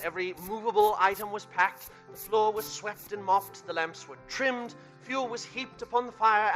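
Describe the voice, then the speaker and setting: An actor narrating a Christmas tale as an earnest storyteller in a radio play. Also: male voice, high voice, bright, forceful tone. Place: theater stage.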